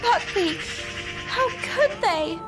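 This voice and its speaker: Very high-voiced, sensible British schoolgirl. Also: animated, full character voice. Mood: worried, sad. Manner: brisk, practical. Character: sensible, concerned schoolgirl witch. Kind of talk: video game voice acting. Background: music.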